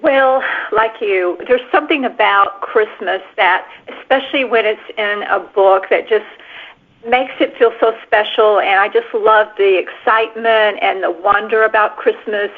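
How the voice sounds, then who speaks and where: Female author interviewee, noticeable vocal fry, phone-band sound. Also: medium pitch, steady tone, moderate pace. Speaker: novelist guest. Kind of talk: interview answers. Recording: phone-like radio-sounding line.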